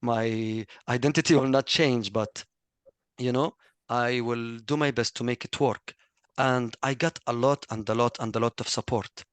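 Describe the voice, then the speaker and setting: A man's voice: medium pitch, steady tone, normal speed, clean tone, dry recording. A middle-aged man from Egypt with a thick Egyptian Arabic accent, giving an earnest testimonial in a webinar, full of fillers and self-corrections. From Egypt, raised in Kuwait. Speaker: former student speaker.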